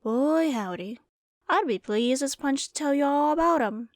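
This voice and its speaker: Woman voicing a folksy, high-pitched Wild West saloon keeper. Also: lively intonation, unhurried, no echo, studio quality. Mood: storytelling, hushed. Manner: folksy, rambling, drawling storytelling. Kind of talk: ASMR roleplay, storytelling to the listener.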